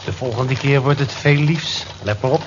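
A man's voice: mid-range voice, normal speed, lightly acted. An actor playing a jovial, wry, teasing male colleague in a radio drama. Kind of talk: Dutch radio drama acting. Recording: muffled, noisy old radio recording.

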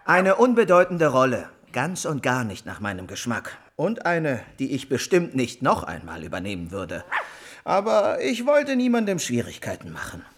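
An actor playing a vain, sulking young aristocrat and amateur actor in a German radio drama, affected and self-important. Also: male voice, mid-range voice, huge pitch swings, normal speed. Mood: wounded vanity.

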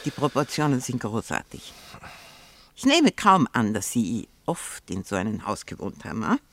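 An older woman playing a shrewd, imperious, grasping old aunt in a radio play, speaking with haughty, sharp-tongued deliberation. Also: extremely low pitch, huge pitch swings, moderate pace, vocal fry, no echo, exaggerated voice. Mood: haughty, probing. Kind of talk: 1960s German radio play acting.

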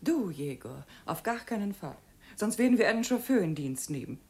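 A woman's voice: medium pitch, normal speed. An actress playing a genteel middle-aged wife and mother in a German radio drama, anxious and firm, given to admonishing. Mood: worried, firm. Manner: expressive, admonishing.

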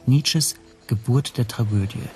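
A man's voice: medium pitch, measured pace, a little mellow, faint music behind, close to an everyday voice. An actor playing a stateless Hungarian teacher who recounts his ordeal reflectively in a scripted radio drama. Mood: tense, reflective. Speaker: first-person narrator.

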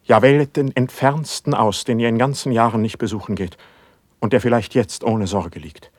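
An actor playing a brooding, suspicious and passionate poet in a classical verse radio drama, with declamatory, measured diction and a husky voice. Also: male voice, mid-range voice, a little mellow, no room sound, close to an everyday voice. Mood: fervent pleading.

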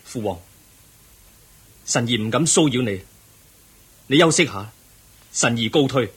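An actor playing an earnest young crown prince in a Cantonese radio drama, speaking in a solemn, formal and measured manner. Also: male voice, mid-range voice, expressive, husky. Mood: earnest, respectful.

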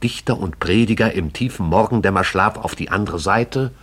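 An actor playing the lyrical storyteller of a radio play, addressing the listener intimately in an incantatory, poetic way. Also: male voice, on the low side, moderate pace, fairly bright tone, breathy edge. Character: hushed, lyrical omniscient storyteller of a sleeping town. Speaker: narrator.

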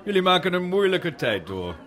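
An actor playing a jovial, generous royal prince in a radio drama, genial and a little patronising. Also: male voice, high voice, very animated, normal speed, fairly bright tone. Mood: genial, sympathetic. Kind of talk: Dutch radio drama acting. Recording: outdoor scene, faint crowd behind.

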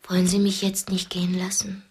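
A young woman held captive in a radio drama, played by an actress. She is frightened but sharp-witted and defiant. Her mid-pitched voice is breathy and makes its points deliberately. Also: monotone, natural voice. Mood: quiet, probing. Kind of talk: German radio drama dialogue.

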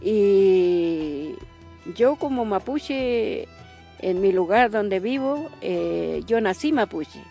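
A woman from Chile with a Chilean accent, giving testimony as a community member, pausing and searching for her words. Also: mid-range voice, expressive, dark tone, faint music behind. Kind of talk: spoken testimony.

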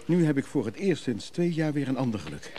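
A man playing a brisk schemer giving urgent, secretive orders on the phone in a radio play, halting and commanding. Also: medium pitch, very animated, dark tone, slight background noise, lightly acted.

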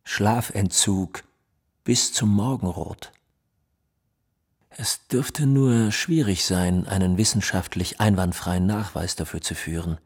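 A detached man of science in a radio play, low and husky. He sets out dry findings in formal at a very slow, deliberate pace. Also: exaggerated voice. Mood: detached, clinical. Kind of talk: scripted radio play, mock-scientific statement.

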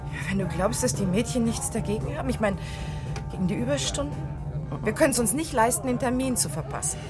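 An actress playing an excited, earnest young fashion designer in a vintage radio crime drama. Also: female voice, fairly high pitch, a little fast, over loud music, lightly acted. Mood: earnest, eager. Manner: eager, earnest.

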